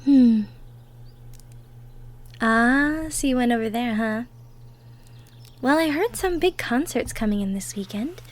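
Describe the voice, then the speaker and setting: A woman playing a cheerful, gentle young woman from the woods in an intimate roleplay audio drama, with a sweet, folksy manner. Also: a little high, lively intonation, slow pace, long pauses. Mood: friendly, curious.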